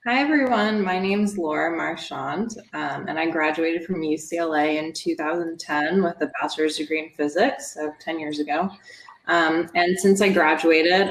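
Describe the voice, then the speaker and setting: A woman's voice: low voice, roomy sound, slight background noise, poor audio. A woman in her thirties, an engineer, casually introducing herself on a video call. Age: 30s. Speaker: alumna engineer.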